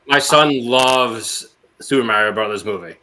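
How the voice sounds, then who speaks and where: A man's voice: mid-range voice, a little slow, crowd noise, low-quality recording. A man chatting bluntly and informally as a podcast panelist. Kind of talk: casual chat.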